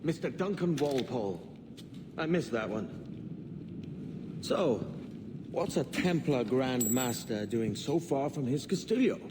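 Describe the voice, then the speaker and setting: An actor playing a brash, roguish pirate captain in a video game cutscene, talking in a cocky, sly, informal way. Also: male voice, mid-range voice, expressive, measured pace, long pauses, natural voice. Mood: menacing, sly. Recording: clearly noisy outdoor ambience behind voice.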